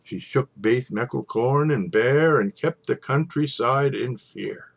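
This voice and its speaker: A man reciting an old narrative poem in a theatrical storytelling style, with dramatic pauses and swells. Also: mid-range voice, lively intonation, gravelly, slightly rough. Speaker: poetry reader.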